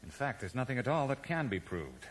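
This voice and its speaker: An actor playing a cool, calculating schemer, urbane, wry and evasive, in a 1980s radio drama. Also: male voice, mid-range voice, big pitch swings, brisk, lightly acted. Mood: unrepentant. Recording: echoey, noisy old radio broadcast recording.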